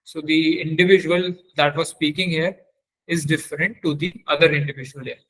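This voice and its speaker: Man, fairly high voice, webinar trainer, technical walkthrough, halting delivery, repeats and corrects himself. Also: steady tone, fairly bright tone, rough recording. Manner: halting, repetitive explanation with self-corrections. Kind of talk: technical lecture with screen demonstration.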